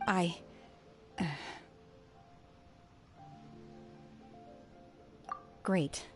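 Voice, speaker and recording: A woman voicing a calm, reserved, protective young warrior in a video game, understated in delivery. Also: very slow, over music. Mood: awkward, modest.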